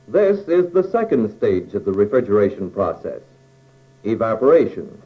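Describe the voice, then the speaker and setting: An American man narrates an instructional film in a mellow, relaxed voice at a middle pitch. His intonation moves up and down in a lively way as he explains things step by step in plain, measured phrases. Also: rough recording.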